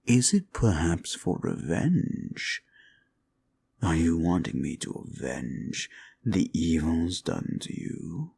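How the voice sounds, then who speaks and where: A man playing a sly, mocking monster demon in an audio roleplay, with a theatrical, drawn-out, taunting delivery. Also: deep voice, sing-song, creaky, a little mellow, breathy edge, exaggerated voice. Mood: savoring, menacing. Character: sly, mocking classic monster demon, male, summoned to grant wishes. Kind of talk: scripted audio roleplay, ASMR.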